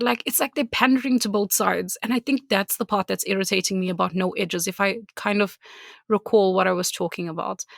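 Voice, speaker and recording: A woman's voice: medium pitch, gravelly, no echo, clean studio sound. A woman from South Africa with a South African accent, co-hosting a podcast in candid casual chat, laughing often and ranting freely. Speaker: podcast co-host.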